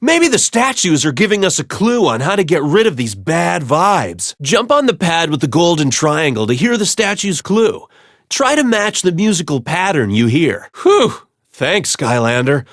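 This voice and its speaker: A man's voice: a little high, big pitch swings, normal speed, fairly bright tone, dry recording, studio quality, full character voice. A man voicing a friendly, chatty islander in a cartoon video game, with a casual manner. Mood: relieved, cheerful.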